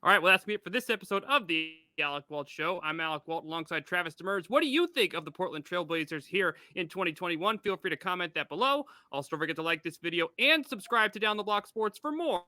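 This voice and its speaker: A man with a high, extremely bright and forward voice hosts a sports interview show. He talks quickly as he poses questions and speaks to his listeners. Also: lively intonation, dry recording.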